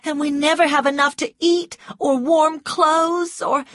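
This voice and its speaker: A woman's voice: high voice, steady tone, unhurried, a bit bright, no echo, pitched above the performer's own voice. A woman voicing an anxious, coquettish young Southern woman who pleads sweetly in an audiobook story.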